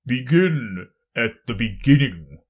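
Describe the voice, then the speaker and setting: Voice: medium pitch, animated, slow pace, slightly rough. A storybook reader voicing a pompous, muddled old king who speaks in a blustering, emphatic way. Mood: grave.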